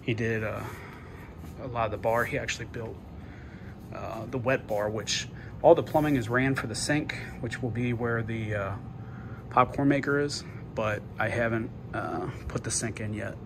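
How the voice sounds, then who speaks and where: A man casually narrating a walk-through tour of his basement game room, offhand and pausing often. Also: mid-range voice. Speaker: homeowner giving a video tour. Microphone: handheld phone, behind the camera. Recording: noisy indoor phone video.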